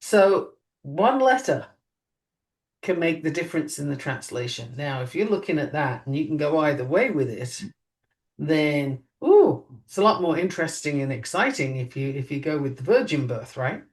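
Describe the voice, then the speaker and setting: A woman co-hosting a podcast in casual chat, musing and lively, her pitch jumping up on emphatic questions. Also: normal speed. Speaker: podcast co-host. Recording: voice a bit distant from mic.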